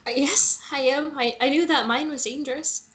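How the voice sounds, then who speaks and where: A woman's voice: sits high, fairly even intonation, moderate pace, slight background noise, lightly acted. Casting: woman to play a chatty, playful young female lead with a vulnerable streak, casual delivery, romantic fantasy drama read. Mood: self-reproachful. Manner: casual, colloquial, teasing.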